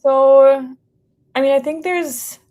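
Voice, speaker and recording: A woman's voice: high-pitched, fairly even intonation, slow, a little mellow. An American woman in a casual interview conversation, speaking informally and hedging with lots of "like" and "sort of". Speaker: podcast guest, company representative.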